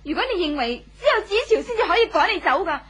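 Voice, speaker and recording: A high-voiced, haughty young wife. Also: female voice, very animated, fast talker, fairly bright tone, exaggerated voice. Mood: indignant, mocking. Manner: haughty, cutting. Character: proud, cool young wife, scornful and aloof. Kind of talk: 1970s radio drama acting. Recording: old 1970s radio broadcast.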